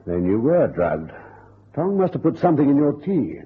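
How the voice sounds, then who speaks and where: An actor playing a stolid, formal police inspector in an old radio detective drama, reasoning in an official, matter-of-fact way. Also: male voice, mid-range voice, big pitch swings, moderate pace, lightly acted. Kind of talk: golden-age radio detective acting. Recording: tinny, echoing old radio recording.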